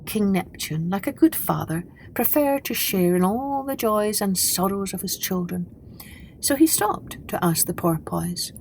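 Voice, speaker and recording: Slow, expressive woman storyteller. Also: medium pitch, a little hiss or hum, low-quality recording. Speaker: storyteller and podcast host. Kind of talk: expressive children's story narration.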